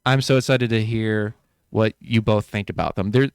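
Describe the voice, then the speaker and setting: A podcast co-host with a lowish voice and a clean, smooth tone. He rambles and jokes in relaxed chat, full of ums and likes. The sound is dry and close to the mic. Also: normal speed, no echo. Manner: rambling, joking, lots of fillers. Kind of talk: casual chat.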